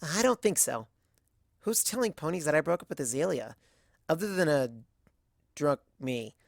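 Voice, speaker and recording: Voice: mid-range voice, expressive, moderate pace, creaky, no echo, clean studio sound. A narrator voicing an anxious, brainy young female pony who talks in wordy, awkward bursts. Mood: flustered.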